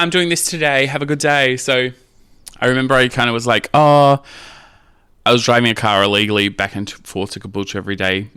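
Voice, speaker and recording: An Australian man chatting casually and candidly as a podcast guest, telling stories in a cheeky, animated way and acting out bits of dialogue. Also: medium pitch, smooth, no room sound, clean studio sound. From Australia, rural Queensland. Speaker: podcast guest, drag queen. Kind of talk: casual candid anecdotal chat.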